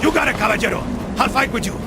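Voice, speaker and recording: A man playing an excitable Spanish mercenary in a video game, with a Spanish accent and a dramatic, exclamatory delivery. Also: very high-pitched, racing. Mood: resolute. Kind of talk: video game cutscene acting. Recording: loud battle noise behind the voice.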